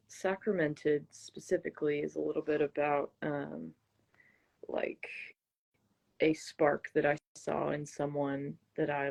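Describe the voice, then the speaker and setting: A woman's voice: deep voice, creaky, darkish tone. A woman being interviewed, talking casually and reflectively, hesitant as she searches for her words. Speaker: interview guest, singer-songwriter.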